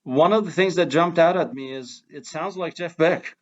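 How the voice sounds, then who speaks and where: A man's voice: on the high side, measured pace. A man with an Iranian accent hosting a casual interview, enthusiastic and admiring. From Iran. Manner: enthusiastic, admiring, chuckles often. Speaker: host. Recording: dull lo-fi sound.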